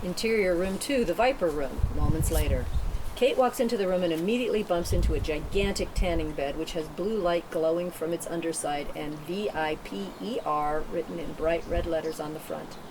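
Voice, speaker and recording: Woman reading screenplay directions, matter of fact, lowish voice, noisy room recording. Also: brisk. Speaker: narrator reading stage directions. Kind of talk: scripted narration at a table read.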